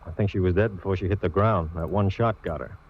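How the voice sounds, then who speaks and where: A man's voice: low voice, quick pace. A man acting an exasperated straight-man police detective in a vintage radio mystery. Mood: matter-of-fact. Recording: dull, noisy old radio recording.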